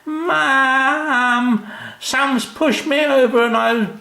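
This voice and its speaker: A man voicing a tearful, indignant little sister telling tales in a children's story, shouting and whining. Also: extremely high pitch, fairly even intonation, very slow, vocal fry, echoey room, pitched above the performer's own voice, exaggerated voice. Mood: mock tearful. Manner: shouting, whining with pretend crying.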